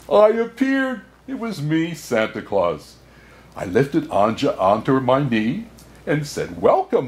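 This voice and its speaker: Kindly old Santa played by a man for storybook reading; slow pace, very animated pitch. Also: full character voice. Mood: jolly, delighted, then tender, grateful. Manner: unhurried, chuckling asides, expressive storytelling.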